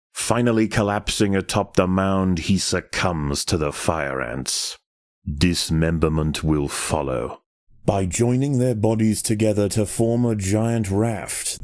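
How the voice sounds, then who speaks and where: A man playing a solemn nature documentary narrator in a game, with measured, dramatic scripted delivery. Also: deep voice, darkish tone, dry recording, studio quality. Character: grave male nature documentary narrator of insect battles.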